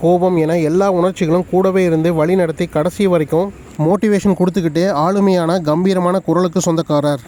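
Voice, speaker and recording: A man reading a scripted entertainment news voice-over in a brisk, informative gossip-reel manner. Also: high voice, dark tone. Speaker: narrator.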